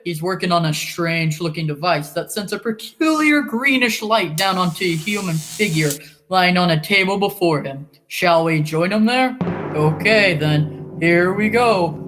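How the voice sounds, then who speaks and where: A woman's voice: on the low side, fairly bright tone. Showy old-time radio announcer played by a woman. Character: enthusiastic old-time radio announcer introducing a space serial.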